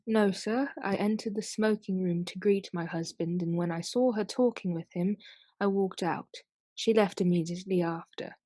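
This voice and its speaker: A woman's voice: mid-range voice, fairly even intonation, normal speed, warm tone, no room sound, clean studio sound, lightly acted. A woman playing a restrained, dignified widow giving formal testimony in a period radio play. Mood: composed, subdued. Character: reserved, dignified widowed lady testifying in court.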